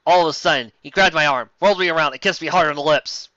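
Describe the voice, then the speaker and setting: A man giving a sarcastic, theatrical dramatic reading of fan fiction and breaking in with excitable commentary. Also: fairly high pitch, quick pace, no echo, dull audio.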